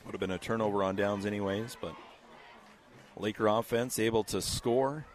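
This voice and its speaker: A man with a somewhat low voice calls a local high school football game play by play. His voice is scratchy tonight, and he keeps a steady, measured pace with casual fillers between plays. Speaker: play-by-play announcer.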